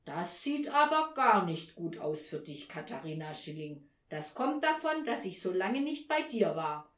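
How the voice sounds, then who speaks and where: A woman's voice: big pitch swings, measured pace, fairly bright tone, roomy sound. A woman voicing a stern old Saint Nicholas who questions like a schoolmaster in a children's storybook. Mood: stern, probing. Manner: stern, booming, interrogating.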